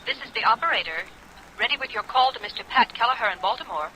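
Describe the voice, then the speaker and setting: A woman playing a brisk, businesslike telephone operator in a 1950s radio drama. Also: a little high, animated, natural voice. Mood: brisk, formal. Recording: noisy vintage radio, distant phone sound.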